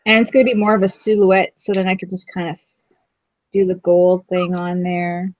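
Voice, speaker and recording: A woman's voice: fairly low pitch, flat delivery, normal speed, dry recording, dull audio. Chatty woman painting on a live stream. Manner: chatty, giggly, self-teasing. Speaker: craft stream co-host. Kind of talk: casual chat while painting.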